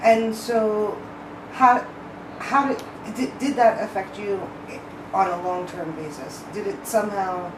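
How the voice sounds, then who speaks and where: A woman's voice: mid-range voice, fairly even intonation, takes it slowly. A woman interviewer asking open-ended questions for a research interview, phrasing them tentatively and carefully. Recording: roomy, distant mic, clearly noisy.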